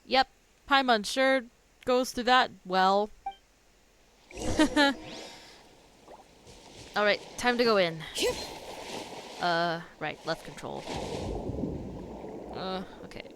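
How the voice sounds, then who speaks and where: A woman's voice: mid-range voice, very slow, pauses often, background noise. A woman streaming a video game, giving casual reaction commentary as she plays. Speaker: gaming streamer. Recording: loud game music behind voice. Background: game music.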